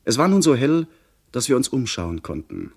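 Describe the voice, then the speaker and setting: An actor playing a young gentleman who narrates his sea adventure in a literary radio play style. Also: mid-range voice, very animated, moderate pace, vocal fry, breathy edge, exaggerated voice. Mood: narrating.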